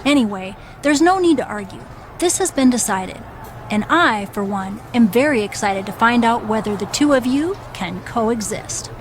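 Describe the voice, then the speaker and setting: A woman's voice: fairly high pitch, animated, moderate pace, a little hiss or hum, close to an everyday voice. A woman playing a bossy, seasoned wrestling legend in video game dialogue, firm, matter-of-fact and wry.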